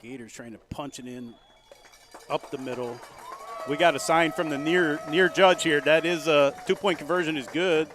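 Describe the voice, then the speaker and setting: A man calling a local high school football game play by play, folksy and rising to loud excitement on big plays. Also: normal speed. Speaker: play-by-play commentator.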